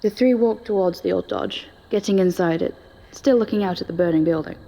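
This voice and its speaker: A woman's voice: mid-range voice, fairly quick, warm tone, rough recording. A woman narrating a scripted audio story.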